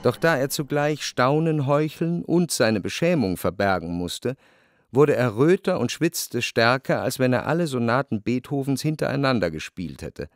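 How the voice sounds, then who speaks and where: A man narrating a literary radio play in a measured, faintly ironic manner. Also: mid-range voice, expressive, no room sound, studio quality, soft background music.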